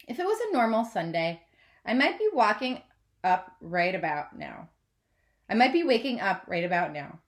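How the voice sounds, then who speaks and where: A woman narrates a children's novel in an expressive read-aloud style, like a teacher. Her pitch is mid-range and moves around with a lot of animation.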